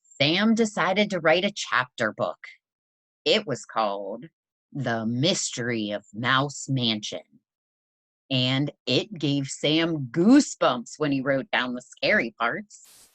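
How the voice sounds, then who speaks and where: A woman reading a children's story aloud in an expressive storytelling style, with deliberate, emphatic phrasing. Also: extremely low pitch, no room sound. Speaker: presenter reading a children's picture book aloud.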